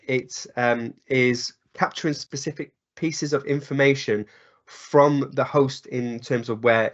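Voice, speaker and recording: A man with a croaky voice from a cold walks through a technical webinar at a mid pitch. He talks in loose spoken phrasing, full of ums and uhs. The call audio sounds slightly dull. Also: fairly bright tone. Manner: many ums and uhs, restarts. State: hoarse from a cold. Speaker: presenter. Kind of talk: webinar presentation.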